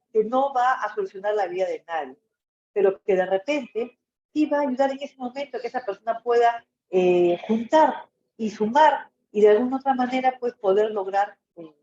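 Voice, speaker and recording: A woman's voice: on the high side, mellow. A woman with a Peruvian Spanish accent giving an informal lecture in a didactic way, often checking in with short tag questions. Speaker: psychology lecturer. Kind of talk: informal webinar-style lecture. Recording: echoey room, dull muffled audio.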